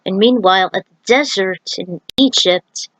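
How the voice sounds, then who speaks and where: A woman reads a story aloud in an informal, chatty style, slipping between narration and casual commentary. Her pitch sits in the middle of the female range. The sound is very dry, with little room echo. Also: a little slow, fairly bright tone. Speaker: narrator reading own fan fiction. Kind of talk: story reading with casual asides.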